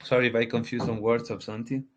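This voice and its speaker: Halting man in his twenties. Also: mid-range voice, level tone. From Ecuador. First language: Spanish. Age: 20s. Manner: halting, restarts often, searches for words. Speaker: guest, crypto project founder. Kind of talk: casual interview answers. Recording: dull lo-fi audio.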